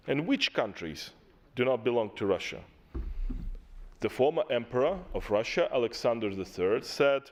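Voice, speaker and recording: A man speaking as a delegate in a parliamentary assembly, giving a formal, impassioned debate speech with emphatic repetition, at a desk microphone in a large chamber. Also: medium pitch. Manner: impassioned, emphatic, repeats key words.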